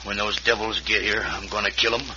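An actor playing a hostile, unhinged American farmer in a radio western, gruff and menacing, with rustic speech. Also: male voice, on the low side, steady tone, a little fast, lo-fi sound, close to an everyday voice. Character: Frank, bitter, hostile, half-crazed farmer with a shotgun. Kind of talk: 1950s radio western acting. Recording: noisy old radio recording.

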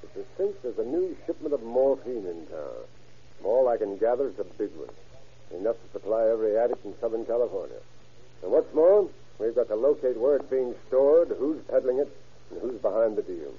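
A man's voice: medium pitch, very animated, a little fast, breathy edge. An American man playing a confident, easygoing police captain in a radio crime drama, talking in a folksy, assured way. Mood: easygoing, brisk. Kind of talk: old-time radio crime drama acting. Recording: narrow, tinny vintage radio broadcast.

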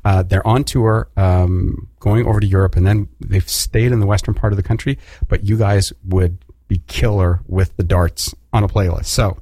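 A low-pitched man hosting a laid-back radio interview, talking in loose, chatty runs full of fillers and easy laughs. The sound is dry and close, like a studio mic with no room around it. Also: steady tone, normal speed, warm tone, no room sound. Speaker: radio host.

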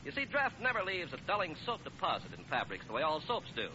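Muffled old radio sound with clear noise. An American man delivers a vintage commercial in a high, animated voice, chummy and emphatic as he sells. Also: fast talker. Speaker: radio announcer. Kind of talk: 1940s radio commercial announcing.

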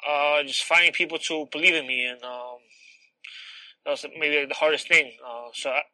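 A man from Poland, a professional boxer, giving earnest, plainspoken, halting interview answers. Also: a little high, steady tone, bright, forceful tone, no room sound, rough recording.

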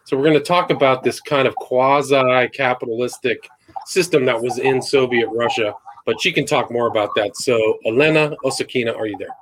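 A man speaks as the host of an interview show. His voice sits at an average male pitch, and he introduces things in a casual, conversational way. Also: a little fast, a bit bright, a little hiss or hum, poor audio.

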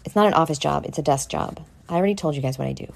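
A woman with a somewhat low voice delivers a motivational podcast monologue. She is blunt and brash, swears freely and addresses the listener directly. Key words get stretched out for dramatic emphasis in an otherwise informal delivery. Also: racing, vocal fry, slight background noise. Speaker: podcast host. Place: at home in a condo.

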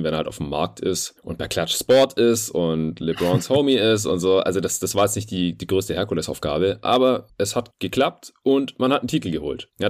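A man co-hosting a sports podcast, talking casually and colloquially with clear opinions. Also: low-pitched. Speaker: podcast co-host.

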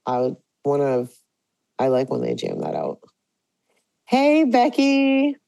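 Woman, podcast host, raspy after a late night, low voice, chatty, dry close mic. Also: big pitch swings, takes it slowly, warm tone, dry recording, muffled recording. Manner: upbeat, chatty, many fillers. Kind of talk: casual podcast chat.

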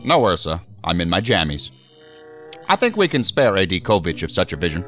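Tired agency director guy, real low voice, dry n articulate, sci-fi audio drama, faint music. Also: big pitch swings, brisk, a bit bright. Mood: wry. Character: weary, wry male assistant director, devoted husband. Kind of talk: science fiction audio drama acting.

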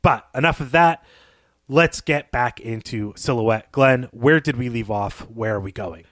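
A man's voice: medium pitch, unhurried, fairly bright tone, no echo. Dry-recorded male podcast co-host. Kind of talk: casual podcast talk to listeners. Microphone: close podcast microphone, dry sound.

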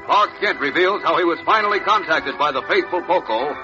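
A man's voice: a little high, fairly quick. A man narrating a 1940s radio serial in a dramatic, declamatory scripted style. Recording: dull old radio recording, loud music.

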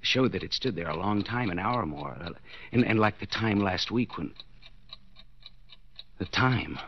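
Desperate jealous husband, wildly swinging voice. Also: male voice, fairly low pitch, lively intonation, breathy edge, dull audio, heavily acted. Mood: bitter, tense. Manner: intense, halting, repeating words in mounting panic. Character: desperate, jealous husband, a clock repairman trapped bound in cellar. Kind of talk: 1940s radio suspense acting, inner monologue. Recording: noisy vintage 1940s radio recording.

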